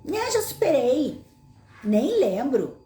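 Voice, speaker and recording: A woman with a Gaúcho accent from southern Brazil talks straight to her audience in a relaxed, explaining way. Her voice is warm and mellow. She is recorded in an echoey room. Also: high-pitched, slow, soft background music. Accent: Gaúcho Brazilian Portuguese. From Brazil, Rio Grande do Sul. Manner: conversational, asks the audience rhetorical questions. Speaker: psychologist host answering audience questions. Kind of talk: casual explanatory monologue.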